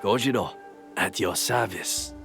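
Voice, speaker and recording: A man's voice: on the low side, unhurried, gravelly, music in the background. An actor playing a sly, teasing male swordsman in a game cutscene, sardonic and amused. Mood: mocking. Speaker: game character. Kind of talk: video game cutscene acting. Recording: game audio with loud score.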